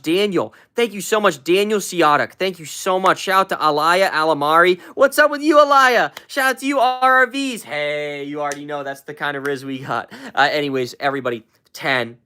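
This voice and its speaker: A live stream host chatting with viewers, rambling with many fillers and repeats, and shooting way up in pitch to hype up gifts and shout out names. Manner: hyped, shouting out viewers, jumps way up in pitch when thanking for gifts.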